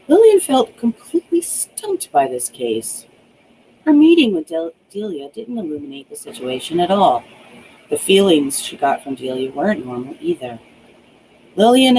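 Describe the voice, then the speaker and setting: A woman reading her own novel aloud as an audiobook, in expressive narration with small stumbles. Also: lowish voice, slight background noise, low-quality recording.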